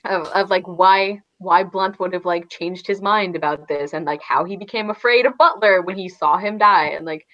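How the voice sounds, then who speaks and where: A woman's voice: lowish voice, moderate pace, few pauses. Woman chatting casually, dull lo-fi sound. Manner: rambling, frequent "like". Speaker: book discussion guest. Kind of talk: casual chat about books.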